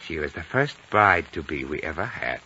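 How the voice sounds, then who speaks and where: A man's voice: very deep voice, big pitch swings, moderate pace, bright, forceful tone, exaggerated voice. A man playing an urbane, courteous Chinese elder of ninety-seven in a 1950s radio drama, suave and precise. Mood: calm, wry. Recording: muffled, hissy old radio recording.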